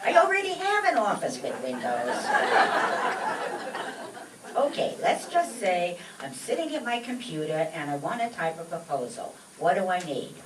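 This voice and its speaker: A woman's voice: fairly low pitch, big pitch swings, normal speed. A woman playing a gullible, exasperated male stooge in a vaudeville-style radio comedy routine. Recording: echoey distant mic, noisy live stage.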